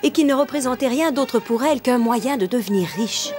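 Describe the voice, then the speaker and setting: A woman playing the narrator of a French children's cartoon, telling the story in a measured storybook manner. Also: high voice, expressive, music in the background. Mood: storytelling. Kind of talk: scripted narration.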